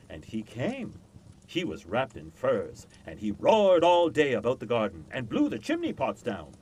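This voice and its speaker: A man reading a classic children's story aloud as an expressive scripted narration. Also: on the low side, a little hiss or hum, low-quality recording. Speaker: storyteller, reader.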